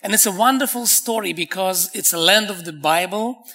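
Man, non-native English, guest preacher, excited and joking, bright tense voice. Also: high-pitched. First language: not English. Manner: excited, joking, fast with restarts. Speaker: visiting pastor. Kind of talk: sermon.

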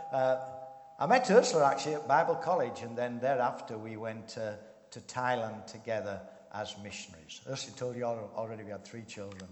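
A man's voice: mid-range voice, slight background noise. An older man with a Yorkshire accent, a guest missionary speaking at a church service in a jovial, joking way. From Yorkshire, England. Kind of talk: casual talk at a church service. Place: church hall. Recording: echoey church hall.

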